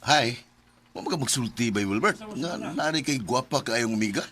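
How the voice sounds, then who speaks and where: An actor as a bright flirtatious Bisaya guy. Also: medium pitch, expressive, normal speed, slight background noise, lightly acted. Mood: flirty, teasing. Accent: Cebuano Bisaya Filipino. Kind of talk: radio drama acting in Bisaya.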